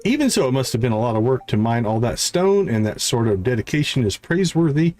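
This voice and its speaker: A man voicing a mysterious, smug video game character in a measured, teasing, theatrical way. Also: medium pitch, very animated, a little mellow, lightly acted. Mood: encouraging. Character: mysterious, smug male game character praising a kid adventurer. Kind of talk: reading video game dialogue aloud.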